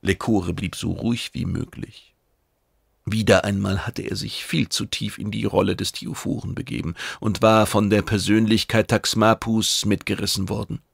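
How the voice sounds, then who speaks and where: A man's voice: lowish voice, steady tone, normal speed, creaky, slightly rough, dry recording, clean studio sound. A man reading scripted science fiction narration as an audiobook narrator.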